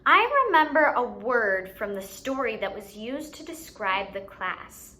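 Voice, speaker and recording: A woman's voice: fairly high pitch, sing-song, measured pace, sharp tone. A woman hosting a lesson for young children, explaining things simply and expressively and putting questions to the listener. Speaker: children's teacher and show host.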